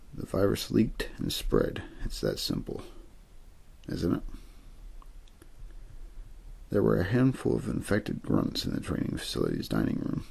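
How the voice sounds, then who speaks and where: An extremely deep-voiced man reads a story in ASMR style. His voice is husky, breathy and full of gravelly fry. He speaks softly in measured phrases, pausing often. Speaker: audiobook-style reader for an ASMR channel. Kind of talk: ASMR story reading, scripted narration.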